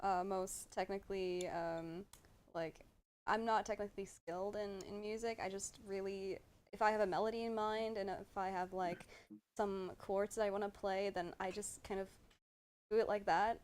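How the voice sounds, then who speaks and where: A woman's voice: medium pitch, level tone, normal speed, a little mellow, smooth. A woman chatting casually on a livestream in a hesitant, self-effacing way, as an amateur songwriter. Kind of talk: casual chat.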